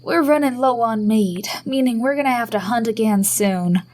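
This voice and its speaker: A woman's voice: on the high side, moderate pace, a little mellow, lightly acted. A woman playing a practical, worried pioneer wife in a historical audio drama.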